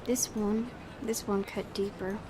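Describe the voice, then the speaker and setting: A woman's voice: mid-range voice, fairly even intonation, a little slow, background noise. A woman hosting a let's-play, reading a visual novel's narration aloud with dramatic storytelling delivery. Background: game music.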